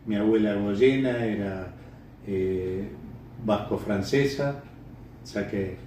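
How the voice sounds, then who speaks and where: Uruguayan guy in an interview, kinda low mellow voice, stop and start, far from the mic, roomy sound. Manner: halting, repeats words while thinking. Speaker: interviewee, bird breeder. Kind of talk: unscripted interview answers, reminiscing. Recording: echoey room, distant mic, faint noise.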